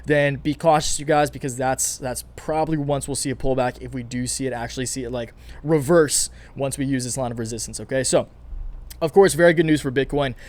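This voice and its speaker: A man giving casual, energetic stock and crypto commentary as a channel host, his voice a little raspy and his delivery quick and chatty. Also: mid-range voice. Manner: fast, energetic, chatty, many fillers and restarts. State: slightly raspy from recording all day. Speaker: finance YouTuber. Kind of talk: casual market commentary to camera.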